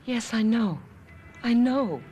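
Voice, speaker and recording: A woman's voice: fairly high pitch, expressive, takes it slowly, dark tone, faint music behind. An actress playing a mysterious, guarded young woman with a fatalistic manner in a radio drama. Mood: anguished, foreboding. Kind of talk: 1970s radio drama acting.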